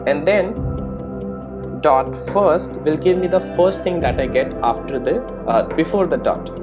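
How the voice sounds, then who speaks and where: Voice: medium pitch, unhurried, over music. Coding instructor explaining step by step. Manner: frequent check-in tags like "right?" and "okay". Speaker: programming tutorial instructor. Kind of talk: casual screen-recorded coding tutorial, explaining step by step.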